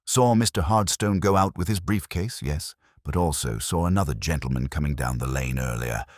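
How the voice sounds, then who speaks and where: A man voicing a keen, deferential police sergeant reporting briskly to a superior in a mystery story. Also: rumbling low, no room sound, studio quality. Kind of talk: mystery audiobook character reading.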